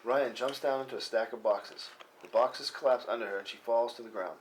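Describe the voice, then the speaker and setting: A man's voice: mid-range voice, brisk. Man reading stage directions in noisy room. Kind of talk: scripted narration at a table read.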